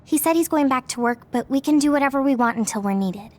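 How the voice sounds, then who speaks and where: A woman's voice: sits high, expressive, fast talker. A woman voicing a sweet, caring young female soldier in an anime-style video game. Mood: matter-of-fact.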